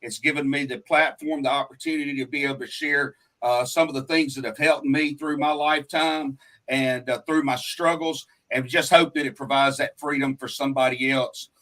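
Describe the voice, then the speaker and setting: A man's voice: mid-range voice, steady tone, normal speed, fairly bright tone. A man hosting a faith-based talk show in a folksy, talkative, rambling and earnest way. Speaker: radio show host.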